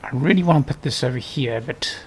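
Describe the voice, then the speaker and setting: A man's voice: medium pitch, brisk, a little hiss or hum. A man giving casual gameplay commentary, thinking aloud in loose fragments. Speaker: gaming video host.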